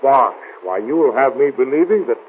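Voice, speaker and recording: Animated, flustered American gem dealer. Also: male voice, medium pitch, moderate pace, breathy edge. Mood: indignant, dismissive. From United States. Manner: flustered, hesitant, exclamatory. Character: prosperous American gem dealer abroad, stubborn, breezily trusting. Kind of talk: old-time radio drama acting. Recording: tinny, noisy old radio recording.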